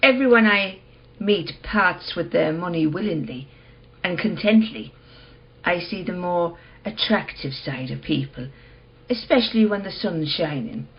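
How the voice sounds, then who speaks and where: A woman playing a calm, enigmatic dealer in curios in a radio play, understated and unruffled. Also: fairly low pitch, a little slow, breathy edge, highs cut off, full character voice.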